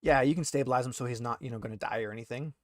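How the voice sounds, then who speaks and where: A man's voice: mid-range voice, no room sound. Casual game master, dry close-recorded man. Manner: casual, halting, thinking aloud. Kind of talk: explaining rules and narrating a tabletop game.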